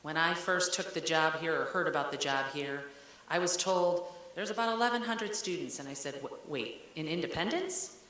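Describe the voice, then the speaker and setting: A woman's voice: deep voice, normal speed, fairly bright tone. A woman speaking candidly and conversationally as a school district leader at a public meeting. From Ohio, United States. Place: large meeting room. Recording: very echoey room with hiss.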